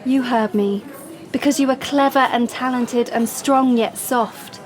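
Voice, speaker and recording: An actress playing an anxious, defensive young British woman in an audio drama, speaking in a breathy, hesitant way. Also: fairly high pitch, steady tone, measured pace, lightly acted. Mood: defensive, insistent. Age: young adult. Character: anxious, defensive young pregnant woman, confiding yet pushy. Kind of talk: audio drama monologue and dialogue.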